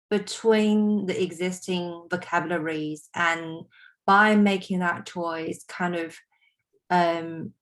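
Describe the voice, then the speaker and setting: Woman translator, talks real slow, lowish voice, lots of ums. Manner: hesitant, searching for words, many fillers. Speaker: translator and artist. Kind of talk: online panel discussion.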